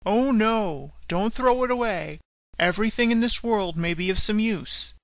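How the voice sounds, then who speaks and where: A woman with a Canadian accent voicing a kindly old father, speaking gently. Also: medium pitch, measured pace, no echo, natural voice, low-quality recording. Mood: gently chiding.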